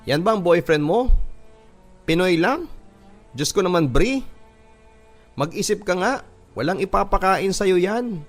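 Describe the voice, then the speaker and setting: A man plays a middle-aged Filipino mother in a radio drama, pitched up. She scolds with open scorn and cares about money. Music runs underneath. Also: animated, a little slow, pauses often. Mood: scornful, scolding.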